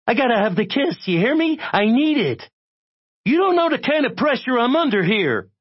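A man voicing an angry, desperate male caller in an audiobook, sharp, breathless and demanding. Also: extremely high pitch, sing-song, takes it slowly, husky, dry recording, dull audio, pitched above the performer's own voice, exaggerated voice. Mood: frantic, demanding. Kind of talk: audiobook character acting.

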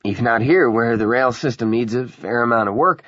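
A man's voice: medium pitch, brisk, fairly bright tone, no room sound, highs cut off, voice pushed up, natural voice. A man voicing a meek, apologetic little railway conductor, a ghost, explaining things hesitantly in an audiobook.

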